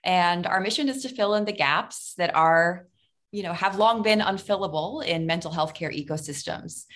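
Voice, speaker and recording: A woman, a psychology professor answering interview questions clearly, with frequent ums. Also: low voice. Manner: articulate, many ums and uhs. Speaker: clinical psychology professor, guest.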